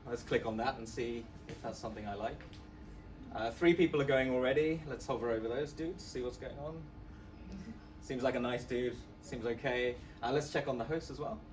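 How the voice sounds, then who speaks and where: A man's voice: mid-range voice, normal speed. A man giving a casual, chatty live walkthrough of an app as a student presenter, with plenty of fillers. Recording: voice from far off the mic.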